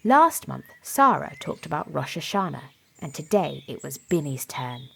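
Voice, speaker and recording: A woman reading a children's storybook aloud in an expressive, dramatic way, softening to a hush at times. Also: low voice, moderate pace, vocal fry, a little hiss or hum. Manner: animated, dramatic delivery with softened hushed moments. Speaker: storybook reader. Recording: music behind the voice. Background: music and story sound effects.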